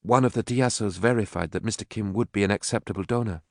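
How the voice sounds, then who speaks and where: A man reads as a youthful daughter in a storybook novel, his pitch lifted. She is earnest, eager and insistent, in a dry, close recording. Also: fast talker, vocal fry, dry recording. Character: earnest, persistent young woman, a caring daughter.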